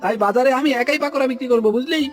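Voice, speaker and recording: A man's voice: extremely high pitch, rapid-fire. A man voicing a bossy, jealous rival village vendor in a cartoon, brash and exclaiming. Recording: music bed behind the voice.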